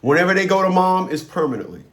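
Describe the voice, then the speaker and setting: A man with a somewhat high voice hosts a casual advice consultation. He talks bluntly in colloquial language, often doubling up on words as he makes his point. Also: very animated, fast talker.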